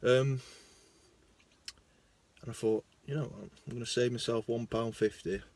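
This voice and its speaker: A young man with a Lancashire accent, rambling casually into his phone in a parked car, self-mocking, jumping between loud bursts and mumbled asides. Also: medium pitch, fairly even intonation, unhurried. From Lancashire, England. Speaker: vlogger.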